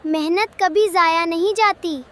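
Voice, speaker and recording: A woman as a very high-pitched little cartoon vegetable boy. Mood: cheerful, encouraging. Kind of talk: children's cartoon moral story dialogue.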